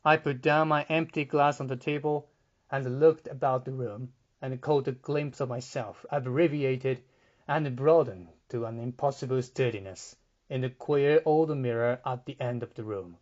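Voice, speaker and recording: A man reading literary fiction as an audiobook narrator, in a measured, deliberate, dramatic style. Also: mid-range voice, darkish tone, muffled recording. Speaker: audiobook reader.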